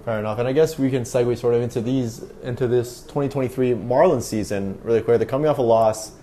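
A man's voice: mid-range voice, steady tone, fast, warm tone. A man hosting a casual sports podcast interview, speaking into a small microphone hooked up to a phone. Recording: noticeable background noise and ambience.

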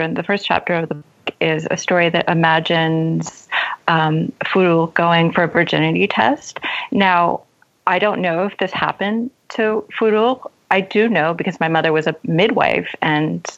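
Low-voiced woman author in interview, slightly muffled. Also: vocal fry, fairly bright tone. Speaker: guest author being interviewed. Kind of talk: reflective interview conversation about writing.

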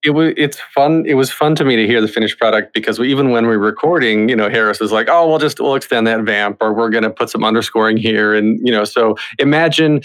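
Man chatting in a medium-pitched voice. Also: fast, hardly any pauses, a bit bright. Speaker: musical theatre creative. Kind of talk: casual interview chat.

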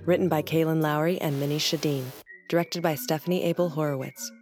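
Low, even-voiced woman reading credits over music. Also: fairly quick. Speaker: podcast credits announcer. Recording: music under the voice.

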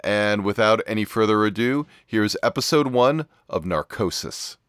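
A man's voice: lowish voice, expressive, moderate pace, fairly bright tone, clean tone, no room sound, clean studio sound. A man hosting a podcast interview in an upbeat, conversational way. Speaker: podcast host.

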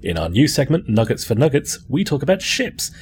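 A man's voice: mid-range voice, sing-song, a little fast, darkish tone. A man co-hosting a podcast, reading a scripted news segment with wry, sarcastic asides. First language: not Chinese. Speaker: podcast co-host.

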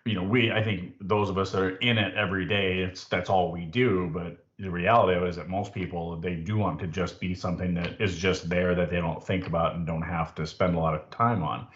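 A man hosting a casual interview, asking relaxed, conversational questions. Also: low-pitched, fairly quick, few pauses, roomy sound, lo-fi sound. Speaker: podcast host.

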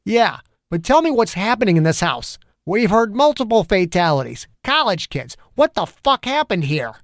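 A man voicing a gruff, combative older male police detective who swears bluntly. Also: high-pitched, lively intonation, moderate pace, no echo, clean studio sound, voice pushed up. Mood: confrontational. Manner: blunt, profane, confrontational.

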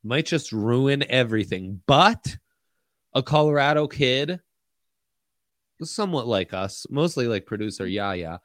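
A man's voice: medium pitch, lively intonation, measured pace, fairly bright tone, no echo, studio quality. A man from Italy hosting a casual sports betting chat, joking and sarcastic as he talks. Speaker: podcast host.

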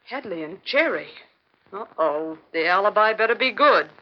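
A woman playing a brisk, wry newspaper secretary in a 1940s radio drama. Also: mid-range voice, expressive, natural voice. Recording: dull old radio recording, faint hiss.

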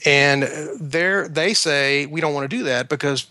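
A man speaking as an author guest in an interview, explaining his work in a halting way with repeated words. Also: on the high side, bright, forceful tone, dry recording.

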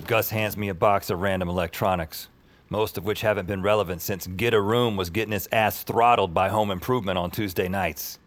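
A man playing a lonely, deadpan loner who narrates his own life in an audio drama. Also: low-pitched, brisk, close to an everyday voice. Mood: wry, downcast. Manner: deadpan, wry. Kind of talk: first-person scripted narration, audio fiction. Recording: music under the voice.

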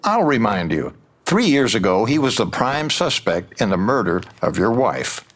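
Lively, needling male investigator. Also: on the low side, moderate pace. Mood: probing, pointed. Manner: pointed, goading. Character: needling, probing male investigator, smug and persistent. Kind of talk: full-cast audio drama acting.

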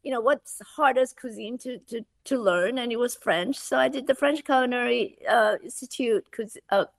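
A middle-aged woman from Malaysia speaks as an interview guest, animated and full of restarts and "you know." Her voice has a gravelly edge. The sound is dry and close. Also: fairly high pitch, normal speed, dry recording. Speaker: guest, food subscription founder. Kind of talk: conversational interview.

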